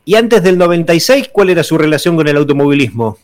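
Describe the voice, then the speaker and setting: A man hosting a radio show. He asks questions politely and formally, and his voice sits a little high. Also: lively intonation, fast talker. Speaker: radio host. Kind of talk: radio interview.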